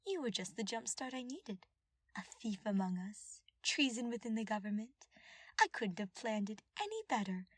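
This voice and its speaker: A woman voicing a smug, mocking female royal advisor who gloats in a drawn-out, scheming way in an audiobook-style story. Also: on the high side, sing-song, dry recording, clean studio sound, pitched above the performer's own voice. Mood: gloating.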